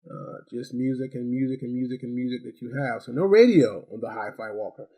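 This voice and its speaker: A man's voice: medium pitch, a little fast, warm tone, dry recording. A man giving a casual, conversational hands-on product review, often repeating phrases for emphasis.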